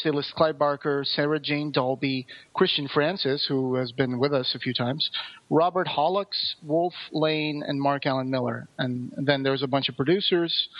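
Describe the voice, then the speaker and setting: A man's voice: a little high, dull audio. A man co-hosting a podcast in a casual discussion, explaining details at length. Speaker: podcast co-host.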